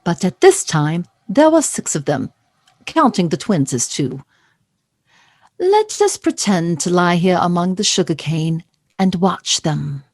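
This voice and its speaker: A woman's voice: fairly low pitch, very animated, a little slow, darkish tone, no room sound, studio quality, heavily acted. A woman narrating an audio play as a wry, theatrical storyteller reading scripted literary prose.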